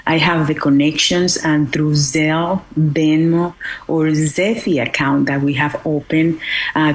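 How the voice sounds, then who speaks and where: A woman from Venezuela with a Venezuelan Spanish accent, giving earnest interview answers as a guest in non-native English with searching pauses. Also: deep voice, husky, poor audio. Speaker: interview guest, charity foundation director.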